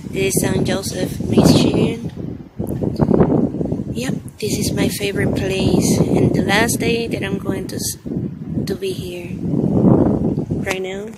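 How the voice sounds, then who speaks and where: A woman's voice: mid-range voice, lively intonation, slow, pauses often. A young woman chatting casually as a family vlogger, recorded on a handheld phone while out and about. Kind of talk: casual vlog chat. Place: inside a moving car and outdoors. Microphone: phone at arm's length. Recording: handheld recording with loud road noise.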